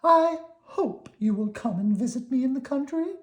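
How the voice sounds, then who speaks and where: Woman putting on a high, polite little lady worm. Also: animated, normal speed. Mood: gently frank. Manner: polite, slightly hesitant. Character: Squirmy, small polite female country worm visitor.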